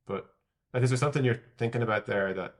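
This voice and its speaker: A man's voice: mid-range voice, quick pace. A man with an Irish accent giving conversational interview answers as a tech executive, candid and thinking aloud as he hedges his predictions. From Ireland. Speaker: tech executive, podcast guest.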